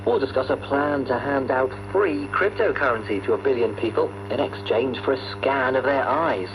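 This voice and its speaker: A man presenting a radio news programme in a scripted style, heard over shortwave. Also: medium pitch. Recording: noisy shortwave radio reception.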